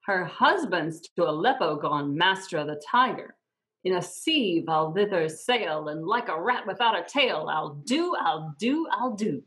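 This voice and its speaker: A woman's voice: lowish voice, lively intonation, unhurried, a bit bright, rough recording. A middle-aged woman playing a vengeful witch, chanting verse in an amateur Shakespeare read-through on a video call. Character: menacing, vengeful female witch. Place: room at home, indoors.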